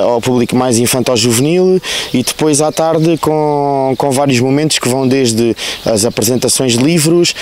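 A man's voice: medium pitch, a little mellow, a little hiss or hum. A man in his thirties with a European Portuguese accent, a town councillor answering questions outdoors in a park with many fillers and restarts, into a handheld mic. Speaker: councillor for culture. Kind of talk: outdoor news interview. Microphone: handheld reporter mic.

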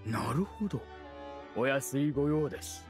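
アニメ調ゲームで、男性声優が演じる折り紙動物の年老いた長老。古風で丁寧な、おじいちゃんらしい優しい話し方。. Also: male voice, medium pitch, lively intonation, a little slow, soft background music, lightly acted. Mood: warm, obliging. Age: older. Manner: courtly, old-fashioned, grandfatherly. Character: kindly old male origami animal village elder. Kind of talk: anime video game voice acting.

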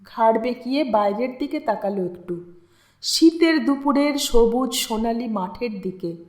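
A woman narrating a literary story in an expressive, deliberate, dramatic way with lingering pauses. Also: on the high side. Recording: roomy, echoing sound.

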